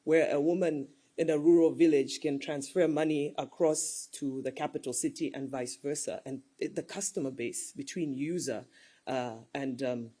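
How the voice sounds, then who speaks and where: A woman's voice: deep voice, moderate pace, warm tone, husky. A woman speaking as a panelist in a discussion, earnest and persuasive, building her case with examples.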